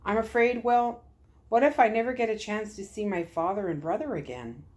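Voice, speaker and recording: A woman's voice: mid-range voice, normal speed, darkish tone, voice pushed up, natural voice. A woman voicing a troubled young girl in a story, earnest and hesitant. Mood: scared, regretful.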